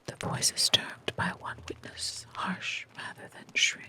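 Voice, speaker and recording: A narrator whispering the lines of a calm, methodical male detective who reasons aloud in a storybook reading. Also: natural voice. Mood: reasoning. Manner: whispered, measured and deliberate.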